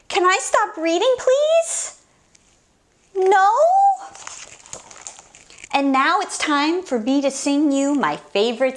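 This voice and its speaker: A woman's voice: sits high, a bit bright. A woman hosting children's story time, reading a picture book aloud with playful, exaggerated, theatrical reactions. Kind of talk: animated picture book read-aloud for kids.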